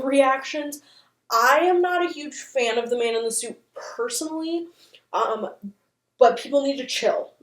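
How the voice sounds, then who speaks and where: A woman's voice: a little high, echoey room. A woman hosting a casual video commentary, chatty, opinionated and playfully dramatic in manner. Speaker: host of a channel on internet horror.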